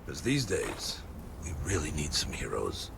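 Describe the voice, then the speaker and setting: An actor playing a kindly frontier priest who speaks in a gentle, fatherly, sermonizing way. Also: rumbling low, huge pitch swings, unhurried, gravelly, very noisy, full character voice. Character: kindly folksy frontier padre, preachy.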